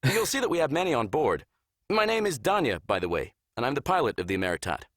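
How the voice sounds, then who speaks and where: A male actor voices a friendly starship pilot for a visual novel. The delivery is lively and swings widely in pitch, courteous and welcoming, with a close, dry sound. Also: quick pace, dry recording. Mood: cheerful, courteous. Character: friendly, polite young male spaceship pilot.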